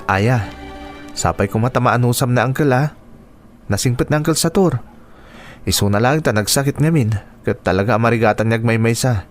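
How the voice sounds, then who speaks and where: An actor playing a caring, protective young nephew in a radio drama, explaining things earnestly. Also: male voice, mid-range voice, normal speed, long pauses, faint music behind, natural voice. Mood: pleading, earnest.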